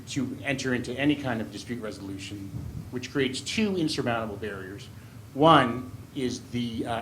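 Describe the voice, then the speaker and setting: Male lawyer at a public forum, mid-pitched, halting and formal, faint room noise. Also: rough recording. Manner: halting, deliberate, legalistic. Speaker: audience questioner, lawyer. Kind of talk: formal question from the floor.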